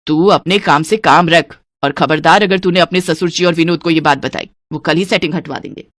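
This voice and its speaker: A woman's voice: deep voice, fairly quick, fairly bright tone, dry recording, studio quality. A woman voicing a stingy, scolding middle-aged mother-in-law in a cartoon moral story, bossy and grumbling. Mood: stern.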